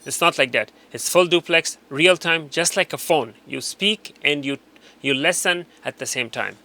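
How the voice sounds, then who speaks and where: A man's voice: on the high side, moderate pace, pushed and bright. A man giving an informal, unscripted product walkthrough as an instructor, often repeating and rephrasing to explain.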